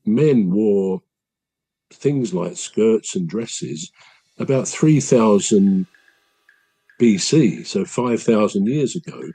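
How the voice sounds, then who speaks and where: A man with a deep voice, hosting a podcast and explaining things at length in a casual, measured way. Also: animated, mellow, husky, rough recording. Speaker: podcast host.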